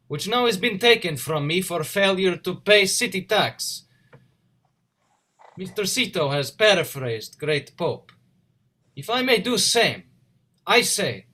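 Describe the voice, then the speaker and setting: A man's voice: sits high, fairly bright tone, full character voice. A man playing a proud, wronged visionary inventor, giving a formal theatrical speech in a play reading. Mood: solemn, defiant. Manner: formal, declamatory oratory.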